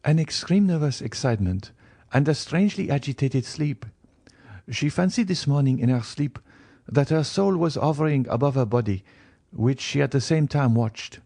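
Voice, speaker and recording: A man reading an audiobook, voicing an anxious, gentle young noblewoman in an earnest, pleading manner. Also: mid-range voice, expressive, moderate pace, creaky, no echo, studio quality, voice pushed up. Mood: agitated.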